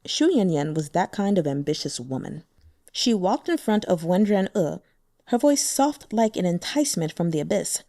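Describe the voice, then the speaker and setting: A woman narrates a translated fantasy novel with lively, varied intonation and gives the characters their own voices. Her voice is mellow and warm, recorded close on a dry mic. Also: lowish voice, moderate pace, no room sound. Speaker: audiobook narrator.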